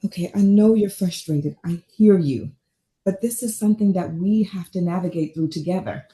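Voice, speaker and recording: Woman playing a tired caring therapist, fairly low voice, steady and earnest, table read drama. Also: normal speed, slightly rough, low-quality recording. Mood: calm, firm. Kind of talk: naturalistic table read acting.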